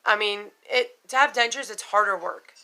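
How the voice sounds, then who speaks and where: Bright-voiced middle-aged lady vlogging, blunt and chatty, lots of "you know"s. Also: mid-range voice, normal speed, poor audio. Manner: frank and chatty, swears casually, many fillers. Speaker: vlogger sharing denture experiences. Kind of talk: casual unscripted vlog talk.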